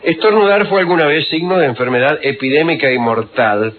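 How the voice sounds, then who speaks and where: A man, telling historical anecdotes on the radio in an unhurried, wry, digressive way full of fillers. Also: on the high side. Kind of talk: rambling storytelling on radio. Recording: tinny phone-like radio recording.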